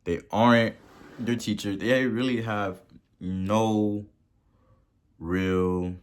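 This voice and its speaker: Slow, low-voiced preachy motivational man. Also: fairly even intonation, clean tone. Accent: Haitian. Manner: preachy, repeats words for emphasis, rising to loud peaks. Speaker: podcast host. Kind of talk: motivational talk.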